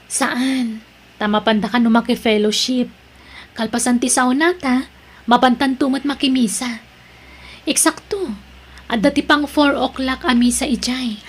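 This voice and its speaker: A woman's voice: sits high, steady tone, measured pace, long pauses, husky. A woman playing a caring, devout, chatty friend in an Ilocano drama, with an Ilocano accent. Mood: caring, inquisitive. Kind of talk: Ilocano radio-style drama acting.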